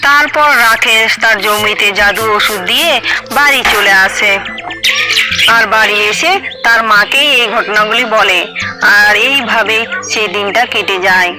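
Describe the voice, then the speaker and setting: A woman's voice: mid-range voice, a little slow. A woman reading scripted story narration for a cartoon, with music underneath.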